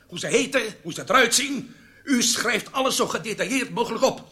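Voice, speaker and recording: An actor playing a menacing Egyptian intelligence interrogator in a radio drama, commanding and deliberate. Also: male voice, very high-pitched, lively intonation, pushed and bright, exaggerated voice. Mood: stern, demanding.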